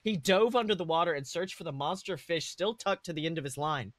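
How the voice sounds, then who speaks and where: Higher-pitched man reading a story aloud. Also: brisk, no echo. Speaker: podcast host reading fan fiction aloud. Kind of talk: comedic story narration.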